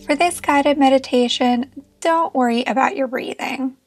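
High-voiced woman guiding a meditation. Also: moderate pace, faint music behind. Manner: gentle, reassuring, encouraging. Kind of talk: guided meditation narration.

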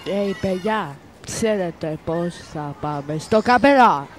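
A woman or girl voicing a gentle child who parrots friends in scripted storybook dialogue. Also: fairly low pitch, animated, close to an everyday voice. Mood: mimicking. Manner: parroting, repetitive. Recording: noisy ambience behind voice.

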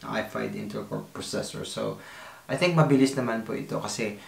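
A man in his thirties with a Filipino accent, mixing Tagalog and English in an excitable home unboxing vlog, recorded in a small kitchen on the camera mic. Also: lowish voice, fairly quick, vocal fry, roomy sound. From Philippines. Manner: mixes Tagalog and English, excitable, self-deprecating. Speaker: vlogger.

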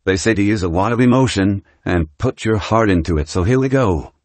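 A fairly low man's voice reads a demo script, processed by an AI voice changer. The recording is dry. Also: brisk, a little mellow, no room sound.

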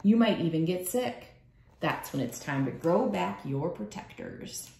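A woman in her thirties reading a children's book aloud at home in a measured storytelling way. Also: on the low side, lively intonation, creaky, darkish tone, slight background noise. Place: small room at home, indoors. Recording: echoey room.

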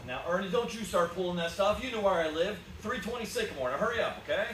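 Frantic everyman for stage radio play, male actor: high, fast, swooping, stammering and pleading. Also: expressive, fairly bright tone. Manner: stammering, urgent. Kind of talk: live 1940s-style radio play acting. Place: small theatre stage, indoors. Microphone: far camera in the audience. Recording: roomy, distant, noisy theatre audience recording.

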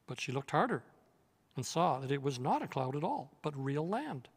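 Older male reader, slightly raised pitch, calm storyteller, reverb tail. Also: breathy edge. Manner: measured, steady storytelling. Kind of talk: reading a children's novel aloud. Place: studio set with armchair and lamp.

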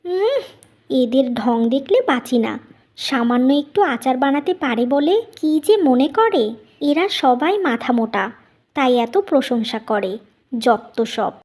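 A woman's voice: high voice, lively intonation, slow, darkish tone, full character voice. A woman voicing a jealous young new bride in a cartoon story, scornful behind polite talk. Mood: scornful, muttering. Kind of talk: Bengali cartoon story dubbing.

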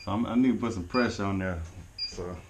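A man's voice: low-pitched, brisk, heavy background noise. A young man working as a HVAC technician, talking casually and encouragingly while he works in a walk-in cooler, recorded on a head-mounted camera. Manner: laid-back, chatty, encouraging. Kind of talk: casual work vlog talk.

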